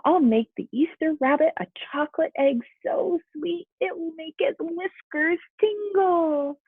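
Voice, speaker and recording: A woman's voice: very high-pitched, measured pace, no echo, voice pushed up. A woman voicing an eager, wide-eyed young male bunny from a storybook, on a muffled recording. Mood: eager, excited. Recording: muffled, phone-like narrow-band sound.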